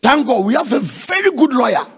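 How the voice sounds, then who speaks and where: A man with a Ghanaian accent preaching in a raspy voice, emphatic and repetitive, putting questions to his congregation. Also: very high-pitched, big pitch swings, brisk, crowd noise. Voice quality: raspy, gravelly. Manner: emphatic, repetitive, conversational asides.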